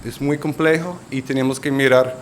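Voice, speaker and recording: A man with a Colombian Spanish accent presenting at a conference panel, halting and searching for words. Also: mid-range voice, fairly even intonation, slight background noise.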